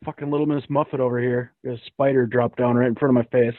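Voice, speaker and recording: A man's voice: mid-range voice, flat delivery, quick pace. A man hosting a livestream, joking and swearing casually with friends. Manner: joking, profane banter. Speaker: livestream host. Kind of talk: casual group chat on a livestream. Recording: tinny phone-like audio.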